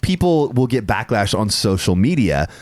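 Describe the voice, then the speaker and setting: A middle-aged man hosts a casual podcast in a dry, close-miked sound. His voice sits fairly low but swings up and down a lot as he tells animated stories. He laughs often and swears freely. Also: normal speed, no room sound. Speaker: podcast host.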